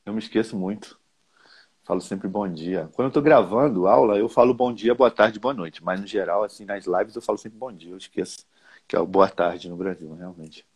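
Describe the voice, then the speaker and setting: Husky low-voiced man hosting informally. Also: moderate pace, a little mellow. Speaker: host and language teacher. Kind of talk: casual chat interview.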